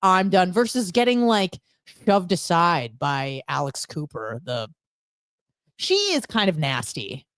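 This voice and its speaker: A woman's voice: fairly low pitch, sing-song, slow pace, fairly bright tone, no echo. A woman co-hosting a radio show, chatting casually and giving blunt, gossipy opinions with plenty of swearing.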